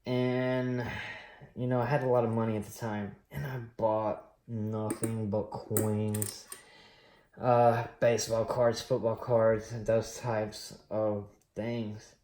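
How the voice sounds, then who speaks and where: A man in his late thirties telling his story on a coin collecting vlog, rambling and relaxed with many pauses and fillers. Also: fairly low pitch, reverberant. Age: late 30s. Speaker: coin collecting channel host. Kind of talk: casual personal storytelling while unboxing.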